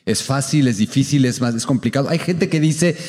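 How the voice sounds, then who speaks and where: A man with a Mexican Spanish accent moderating a post-show panel, asking measured, inquisitive questions. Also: medium pitch, a little mellow. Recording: reverberant hall.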